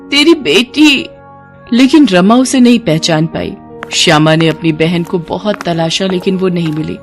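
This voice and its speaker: A woman's voice: fairly low pitch, a little mellow. A woman narrating a scripted moral bedtime story.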